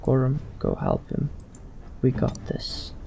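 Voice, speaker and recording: A man's voice: mid-range voice, huge pitch swings, slow, vocal fry. A man hosting a let's play, commenting in character and giving orders to a party over game music. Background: video game music.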